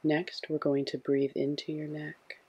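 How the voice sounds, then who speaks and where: A man with a husky, breathy voice gently guiding a sleep meditation in a soothing, hushed way. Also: fairly high pitch, monotone, a little slow. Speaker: meditation guide.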